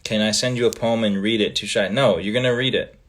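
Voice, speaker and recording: A man from Canada whose first language is Italian, hosting a casual livestream, reading fan comments aloud in a relaxed way with many ums and trailing pauses. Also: fairly low pitch. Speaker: livestream host.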